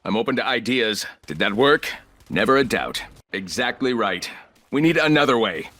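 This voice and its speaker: An actor playing a ruthless, arrogant corporate executive turned combat operator in a video game, speaking in clipped, commanding, coolly condescending lines. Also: male voice, mid-range voice, expressive, moderate pace, sharp tone. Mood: cold, commanding. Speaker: playable military shooter operator.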